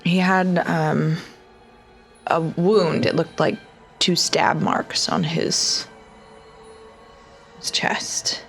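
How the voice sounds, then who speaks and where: A woman's voice: low voice, fairly even intonation, extremely slow, creaky, long pauses, soft background music. A woman playing a tabletop roleplaying game, chatting casually in and out of character.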